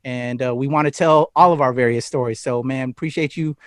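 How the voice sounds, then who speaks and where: A man's voice: mid-range voice, fairly quick, clear, resonant tone, dry recording, clean studio sound. A man hosting a podcast, laid-back and slangy as he opens the episode and hands off to his co-hosts. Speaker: host. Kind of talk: casual podcast intro.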